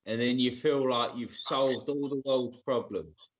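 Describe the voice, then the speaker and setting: A man's voice: medium pitch, measured pace. A man in his fifties hosting a podcast, talking with rambling enthusiasm in a casual pub-style chat. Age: 50s. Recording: dull lo-fi line with faint noise.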